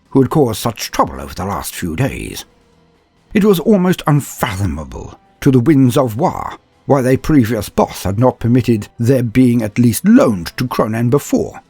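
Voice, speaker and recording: A man tells a comedic war tale in a grand, mock-heroic style, slipping in dry asides. His voice is slightly husky and swings widely in pitch. The sound is dry and close, with soft music underneath. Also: no room sound. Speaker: storyteller. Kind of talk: comedic mock-epic story narration.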